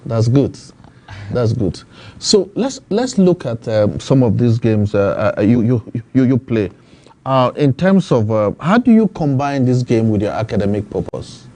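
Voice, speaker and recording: A man's voice: medium pitch, big pitch swings, a little slow, dark tone. A man hosting a studio TV interview, asking formal, leading questions with fillers. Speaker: TV talk show host.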